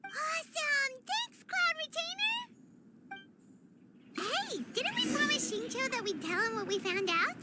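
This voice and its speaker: An actress voicing a tiny, cheerful, childlike fairy companion in a video game, chirpy and casual. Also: female voice, very high voice, sing-song, unhurried, heavy background noise, heavily acted. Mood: excited. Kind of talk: video game character voice acting.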